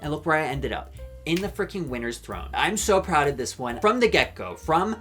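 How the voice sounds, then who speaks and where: A man's voice: a little high, big pitch swings, a little fast, a bit bright, soft background music. A young man in his twenties with an Irish accent, chatting in a rambling, jokey, self-mocking way as he comments on a show for his video channel. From Ireland. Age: 20s. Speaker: YouTube commentator. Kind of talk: casual vlog commentary.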